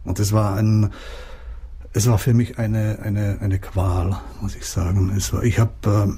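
Middle-aged Czech-born man, Czech accent, dark mellow voice, halting interview answers. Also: fairly low pitch, level tone, husky. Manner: hesitant, many fillers and restarts. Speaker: writer, interviewee.

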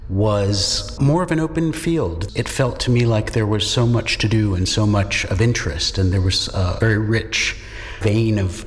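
A middle-aged man, a native English speaker and university lecturer, giving thoughtful, articulate interview answers in a reflective, lightly self-deprecating way. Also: fairly low pitch. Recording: echoey hall with long reverb.

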